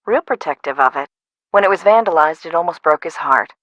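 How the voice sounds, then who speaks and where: A businesslike, exacting female boss in a video game. She speaks bluntly and matter-of-factly in a slightly low voice. The sound is close and dry. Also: expressive, fairly quick, fairly bright tone, no room sound, dull audio.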